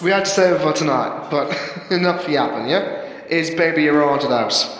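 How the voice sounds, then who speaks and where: Man voicing a brash, high-pitched punk frontwoman. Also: moderate pace, fairly bright tone, echoey room, in a large hall, lightly acted, rough recording. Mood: excited, loud. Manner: slangy, rowdy, hyping up a crowd. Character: brash, upbeat young female punk rock frontwoman. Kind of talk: audio drama character dialogue.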